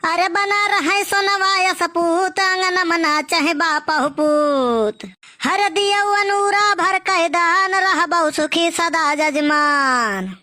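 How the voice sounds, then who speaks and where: Performer as an old male beggar, Awadhi accent, wily, boastful, wheedling, bright high-pitched cartoon comedy voice. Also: takes it slowly, heavily acted. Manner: sing-song blessings, wheedling, boastful.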